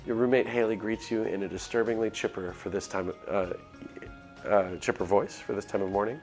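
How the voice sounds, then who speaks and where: Man, low voice, game streamer, reading aloud and chatting, hesitant, rambling, music behind. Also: fairly even intonation, a little fast. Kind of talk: live playthrough, reading visual novel aloud with casual chat.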